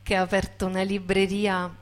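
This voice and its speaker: A woman's voice: medium pitch, completely flat delivery, a little fast. A woman speaking as she introduces a guest to listeners.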